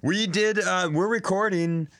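A man's voice: high-pitched, unhurried, fairly bright tone. American man, rambling comic podcast co-host. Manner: joking, playfully grumbling, rambling. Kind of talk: casual comedic banter.

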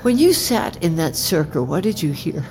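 A woman acting a bereaved, bitter mother in an audio drama, speaking deliberately and often in a hush, with accusation underneath. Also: low voice, big pitch swings. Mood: probing. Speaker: actor in a fiction podcast. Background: faint music.

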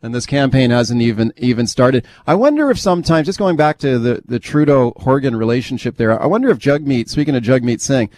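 A Canadian man hosts a political talk segment in a casual, conversational style. His voice sits at a medium pitch, and he talks colloquially, piling on exaggeration. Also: very animated, fairly quick, few pauses, a little mellow, no room sound. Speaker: talk show host.

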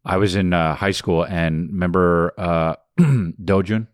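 A man co-hosting a casual podcast, talking in halting bursts with lots of restarts. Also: no echo, clean studio sound. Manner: halting, many restarts, goes quiet and low when musing. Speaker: podcast co-host.

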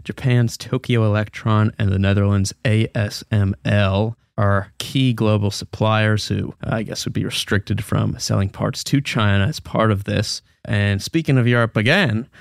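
A man's voice: on the low side, fairly even intonation, a little slow, creaky, few pauses, dry recording, clean studio sound. A young American man co-hosting a podcast with conversational news commentary. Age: young adult. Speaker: podcast co-host.

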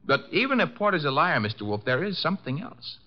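A man's voice: on the high side, very animated, fast talker. An actor playing a cheeky, wisecracking detective's assistant in a 1950s radio drama, casual and sardonic in his delivery. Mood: skeptical. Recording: dull lo-fi old radio recording.

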